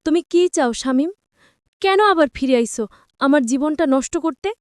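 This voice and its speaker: A betrayed young married woman in a dramatic audio story, acted out by a woman narrator. Her voice is high and wounded, pleading in a Bangladeshi Bengali accent. The recording is dry and close. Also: animated, normal speed, no room sound. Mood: hurt, pleading.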